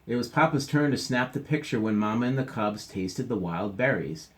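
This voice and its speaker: A man teacher reading a picture book aloud to children, stopping for gentle chatty asides. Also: medium pitch, normal speed.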